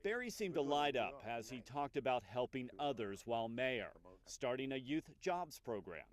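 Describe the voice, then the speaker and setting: A man's voice: medium pitch, lively intonation, moderate pace. A man working as a TV news anchor and reporter, delivering scripted news narration.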